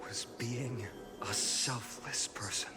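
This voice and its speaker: An actor playing a noble young demigod hero in a fantasy game, speaking in a solemn, earnest, declamatory manner. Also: male voice, medium pitch, slow pace, over loud music, lightly acted. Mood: solemn, reverent. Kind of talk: scripted fantasy game voice acting.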